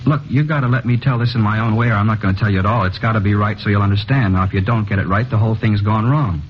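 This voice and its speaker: Un jeune homme nerveux et fuyant, joué par un acteur avoue un meurtre en hésitant et en se répétant, d'une voix grave au débit rapide, dans un vieil enregistrement radiophonique terne des années 1950. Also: male voice, lowish voice, a little hiss or hum, natural voice. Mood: uneasy. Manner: halting, insistent, repeats himself. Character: nervous evasive young man confessing a murder. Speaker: murder suspect. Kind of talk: 1950s radio drama acting. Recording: dull old radio broadcast recording.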